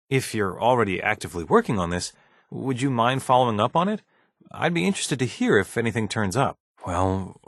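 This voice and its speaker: Husky, mild-mannered bureaucratic scientist. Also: male voice, mid-range voice, no room sound, clean studio sound. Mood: puzzled, cautious. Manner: hesitant, deferential, measured office-professional speech. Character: mild, bureaucratic scientist, polite and slightly uneasy. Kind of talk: video game dialogue acting.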